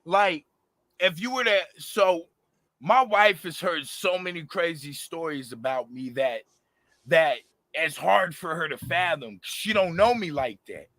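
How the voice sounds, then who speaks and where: Raspy man in his thirties, animated and passionate, bright edge, dry close sound. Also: a little high, unhurried, no echo. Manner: animated, passionate, slangy, lots of fillers. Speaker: rapper, interview guest. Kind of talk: casual interview talk.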